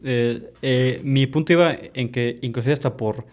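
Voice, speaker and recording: A man's voice: medium pitch, a little slow, darkish tone. A man co-hosting a casual chat, hesitant and often stumbling over his words.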